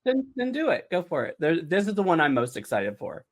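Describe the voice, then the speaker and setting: A man's voice: a little high, wildly swooping pitch, brisk. A man hosting a casual behind-the-scenes group chat in a playful, teasing manner. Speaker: podcast host and writer.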